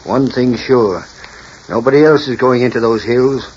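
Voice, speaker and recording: A proud, embittered rancher in a 1940s radio western, played by a man. He speaks bluntly at a medium pitch, on a dull, faintly hissy recording. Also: normal speed, darkish tone, natural voice. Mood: bitter, curt. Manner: curt, blunt. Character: proud, bitter rancher pushed into selling.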